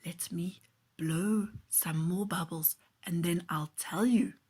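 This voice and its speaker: A woman's voice: on the low side, fairly even intonation, slow pace, a little mellow, breathy edge, voice pushed down. A woman voicing a kindly, mysterious grown man in a children's story, friendly, playful and encouraging. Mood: secretive.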